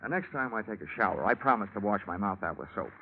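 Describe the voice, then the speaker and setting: An actor playing a wisecracking, hard-boiled American private investigator in vintage radio drama, with a wry, punchy, slangy delivery. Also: male voice, lowish voice, animated, quick pace, a little hiss or hum, lightly acted. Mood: sardonic. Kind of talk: hard-boiled 1940s-50s radio narration and acting. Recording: dull vintage radio recording with music.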